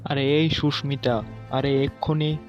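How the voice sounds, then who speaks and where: A man's voice: mid-range voice, little pitch movement, unhurried, soft background music, close to an everyday voice. A man voicing a protective, stern father in a cartoon, emphatic, in slightly muffled sound. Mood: angry, warning. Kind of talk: cartoon voice acting.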